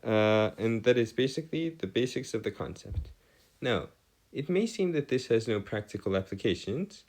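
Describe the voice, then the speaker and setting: A man tutoring in an improvised explanatory lesson, with formal wording and halting, self-correcting delivery. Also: mid-range voice, animated, darkish tone. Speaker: math tutor.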